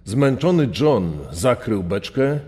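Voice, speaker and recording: A man's voice: medium pitch, lively intonation, slow pace, a little mellow. A man narrating a scripted true-crime story, his voice trailing a long reverb.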